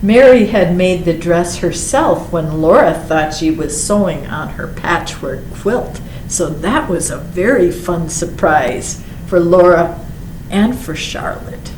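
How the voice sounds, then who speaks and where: Slow-paced woman reading a children's story, roomy echo. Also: fairly low pitch. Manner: expressive storyteller with drawn-out words and short asides. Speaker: library storytime reader. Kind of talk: children's picture book read-aloud. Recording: roomy echo with faint background noise.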